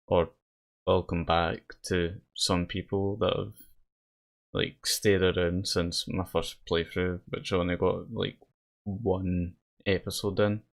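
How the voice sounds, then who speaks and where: A man's voice: low voice, level tone, slow, gravelly. A man giving casual let's play commentary in a hesitant, rambling way, sometimes reading game dialogue aloud. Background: game music.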